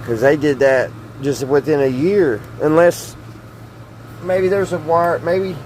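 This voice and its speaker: Folksy man with clear background noise. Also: on the high side, steady tone, slow pace, darkish tone, poor audio. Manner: folksy, hesitant, thinking aloud. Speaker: host of a hobby radio repair channel. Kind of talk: casual chat while tinkering, hands-on demonstration. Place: small wood-panelled indoor workshop.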